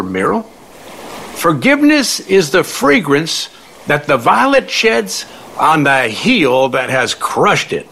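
A man's voice: fairly high pitch, gravelly, a bit bright, a little hiss or hum, exaggerated voice. A man acting a wry, elderly, folksy confidant who speaks in aphorisms in an audio play. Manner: folksy, slow, quoting aphorisms.

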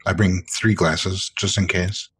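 A man's voice: deep voice, flat delivery, measured pace, fairly bright tone, a little hiss or hum. A man playing a tabletop roleplaying game, speaking casually in character.

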